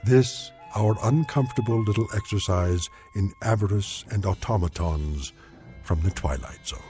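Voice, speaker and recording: A man giving measured, sardonic scripted narration to close a radio drama. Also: on the low side, over music.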